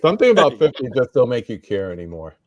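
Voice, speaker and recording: A man's voice: medium pitch, big pitch swings, quick pace, lo-fi sound. A middle-aged man hosting a live roundtable stream, casually greeting viewers from the chat. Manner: laid-back, shouting out chat viewers. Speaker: host.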